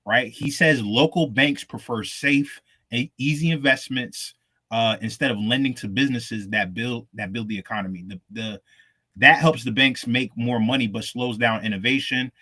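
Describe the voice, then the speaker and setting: A man's voice: mid-range voice, normal speed, sharp tone. A man co-hosting a casual crypto podcast, reading out news stories in a laid-back, slangy way. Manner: laid-back slangy delivery, calls listeners "fam". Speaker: co-host.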